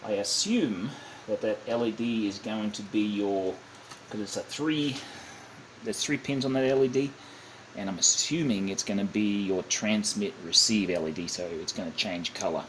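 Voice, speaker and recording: A man giving a casual, unscripted hands-on demonstration, halting and thinking aloud as he goes, often correcting himself. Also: lowish voice, fairly bright tone. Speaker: hobbyist demonstrating a kit build. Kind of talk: casual unscripted how-to narration. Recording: clearly noisy amateur home recording.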